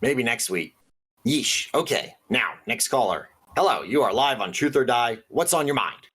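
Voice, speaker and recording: An actor playing an earnest small-town radio host who speaks in a conspiratorial, hesitant way with dry asides. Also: male voice, mid-range voice, animated, normal speed, fairly bright tone, slightly rough. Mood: ominous, earnest. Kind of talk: audio drama radio call-in hosting.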